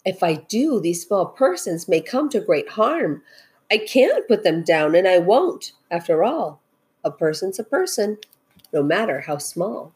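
A woman voicing a gentle, protective male elephant from a storybook, sincere and pleading in manner. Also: lowish voice, sing-song, unhurried, husky, exaggerated voice. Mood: worried, resolute.

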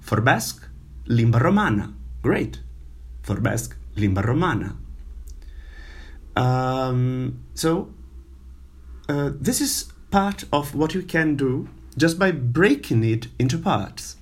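A man who is not a native English speaker giving an informal language lesson, halting and full of fillers, repeating words for the learner. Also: medium pitch, expressive, slightly rough. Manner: slow, halting, many fillers and repetitions. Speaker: language teacher.

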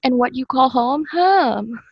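A woman's voice: sits high, expressive, takes it slowly, vocal fry, dry recording, muffled recording. Young woman, dry close sound. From Indonesia. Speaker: podcast host. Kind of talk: casual panel discussion.

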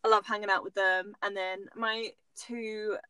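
A woman's voice: mid-range voice, little pitch movement, unhurried, bright, forceful tone, clean tone, no echo. A young Dutch woman in her twenties with an English accent, chatting as a podcast guest and laughing easily. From Netherlands. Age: 20s. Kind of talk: casual chat.

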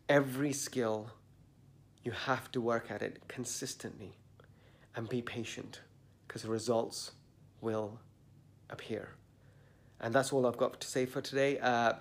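Earnest man with a slightly blocked nose. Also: mid-range voice. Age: adult, a parent. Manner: earnest, slow and deliberate, stressing words. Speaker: motivational podcast host. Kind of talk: reflective motivational monologue. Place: sitting indoors at dawn.

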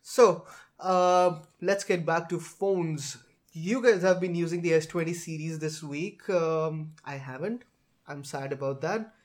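Halting male tech podcast moderator. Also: a little high. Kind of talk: casual tech discussion.

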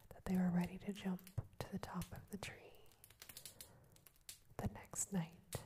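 A woman reading a fairy tale aloud as an ASMR storyteller, in a soft, steady whisper. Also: lowish voice.

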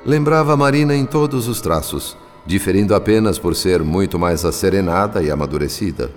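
A man with a Brazilian accent narrating a literary radio drama in a measured, theatrical way with precise diction. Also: deep voice, expressive. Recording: long reverb, music underneath. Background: music.

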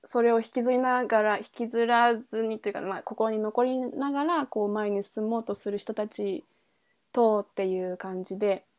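A woman's voice: on the high side, moderate pace, darkish tone. A woman podcast host in a casual book chat, thinking aloud in trailing, loosely linked phrases. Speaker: podcast host reviewing a novel.

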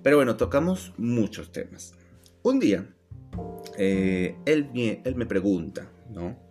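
A man's voice: on the low side, slow, faint music behind. A man with a Venezuelan accent, touched by an Argentine lilt, co-hosting a casual podcast and telling stories that act out quoted dialogue. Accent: Venezuelan Spanish, partly Argentine-tinged. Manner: lively storyteller, acts out quoted dialogue. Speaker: podcast co-host.

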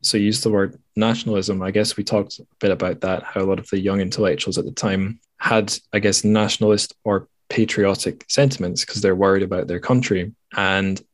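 A man's voice: fairly low pitch, fairly even intonation, a little fast, hardly any pauses, no echo. A Scottish man hosting a podcast, chatting casually and reflectively in a rambling, thoughtful, self-correcting way. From Scotland. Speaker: podcast host.

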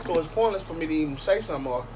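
A man's voice: a little high, lively intonation, racing. A man talking in a casual group chat, lecturing and asking for agreement. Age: older than the others. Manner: preachy, repeats "you hear me?". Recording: noisy background.

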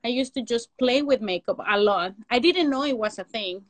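A woman's voice: fairly high pitch, normal speed, no room sound. Mexican-accented woman, rambling and chatty. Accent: Mexican Spanish. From Mexico City, Mexico. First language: Spanish. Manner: rambling, frequent "like" fillers, non-native grammar, laughs often. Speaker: guest, makeup and prosthetic artist. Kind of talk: casual interview.

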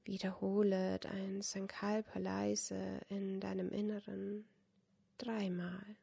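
A woman softly guiding a yoga nidra meditation in a breathy, whispery voice, calm and unhurried, with long pauses. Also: medium pitch. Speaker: yoga nidra guide, podcast host. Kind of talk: guided meditation, soft instruction.

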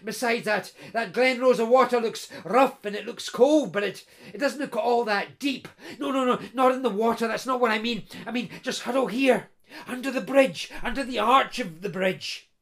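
A woman's voice: a little high, normal speed, close to an everyday voice. An anxious young runaway bride, voiced by a woman reading a story aloud. She speaks urgently and with worry. It is a storybook heroine on the run. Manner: urgent, worried.

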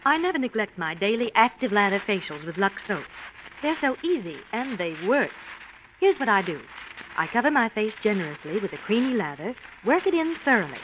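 An actress playing a glamorous film star giving beauty advice in a 1940s radio commercial, breezy and confiding. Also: female voice, medium pitch, sing-song, fairly quick, fairly bright tone. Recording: dull 1940s radio broadcast with hiss.

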